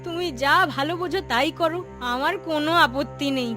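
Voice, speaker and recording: A woman's voice: sits high, a little fast, pushed and bright, soft background music. A woman voicing an anxious, scolding wife and mother of a poor household in a cartoon story, fretful and nagging. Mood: accepting, practical.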